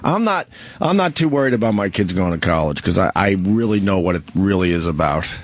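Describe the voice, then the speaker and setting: A man's voice: sits low, expressive, a little fast, dry recording. A man co-hosting a radio show in casual, teasing banter, a little sniffly. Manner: teasing, rambling with fillers. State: slightly sniffly.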